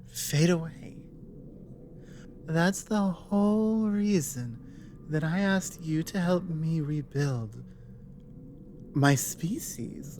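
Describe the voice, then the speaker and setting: A woman playing an earnest, resolute female dragon ally in an ASMR roleplay, speaking in halting, intimate phrases with pauses. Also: low voice, warm tone, slight background noise. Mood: determined.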